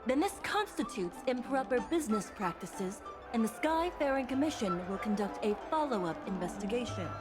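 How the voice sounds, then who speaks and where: Game arbitrator played by a woman: formal, commanding, fairly high voice with lively intonation. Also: moderate pace, natural voice. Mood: stern, official. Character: stern, authoritative female arbitrator. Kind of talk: video game voice acting. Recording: background music under the voice.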